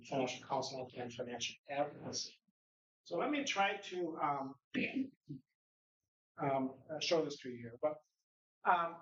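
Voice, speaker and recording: Conference speaker: man, slightly high voice, posing rhetorical questions, picked up by a distant mic in a roomy hall. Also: a little hiss or hum. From Norway. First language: Norwegian. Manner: conversational lecturing with rhetorical questions. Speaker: conference presenter. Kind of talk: conference presentation. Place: conference room. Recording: echoey room, distant mic, dull sound.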